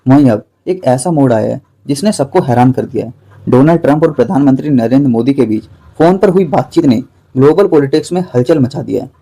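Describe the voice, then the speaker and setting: Man, very mellow dark voice, creaky edge, scripted dramatic news narration. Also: mid-range voice.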